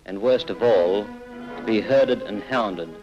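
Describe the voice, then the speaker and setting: Grave low-voiced man narrating over music. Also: dull audio. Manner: grave, emphatic, measured phrasing. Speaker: film narrator. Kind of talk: 1940s documentary narration, scripted. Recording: old film soundtrack.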